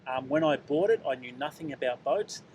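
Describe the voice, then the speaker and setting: A man's voice: medium pitch, expressive, a little fast, a little mellow. A man doing casual street interviews outdoors at a beach, chatting and joking with the people he questions. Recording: noisy outdoor ambience.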